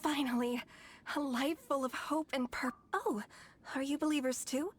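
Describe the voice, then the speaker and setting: A woman's voice: sits high, moderate pace, slight background noise, close to an everyday voice. A woman playing a gushing, fervent young female follower in a video game. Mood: overjoyed.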